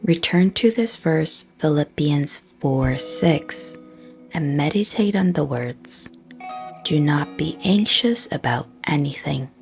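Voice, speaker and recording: A woman with a low voice leads a scripted guided prayer meditation. Her delivery is soft, breathy and very slow, with pauses between short phrases. Gentle plucked guitar music plays softly behind her. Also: lively intonation.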